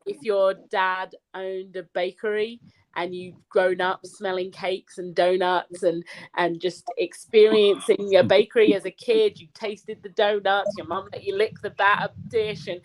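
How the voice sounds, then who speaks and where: A woman's voice: mid-range voice, level tone, measured pace, low-quality recording. A woman singer-songwriter answering interview questions, thoughtful and explaining with everyday examples. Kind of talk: casual interview chat.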